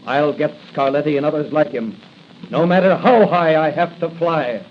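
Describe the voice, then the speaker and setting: An actor playing a defiant, upright crime-fighter in 1940s radio style, with a resolute, declamatory manner. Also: male voice, fairly high pitch, moderate pace, lightly acted. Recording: muffled 1940s radio broadcast, faint noise.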